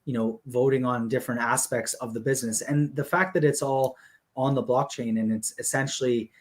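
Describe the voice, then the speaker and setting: A man's voice: medium pitch, brisk. Man explaining in easy, filler-laden talk. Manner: steady, explanatory, many fillers like "you know" and "um". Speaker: guest explaining a hockey league business model. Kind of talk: casual conversational explanation.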